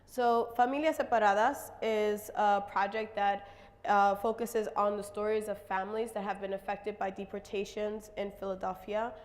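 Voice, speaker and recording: A woman with a slightly raspy voice presents her artwork to an audience, pausing often with fillers. Her pitch stays fairly flat. The sound carries the long reverb of a large hall. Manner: halting, many fillers. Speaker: visual artist presenting her work. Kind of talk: stage presentation.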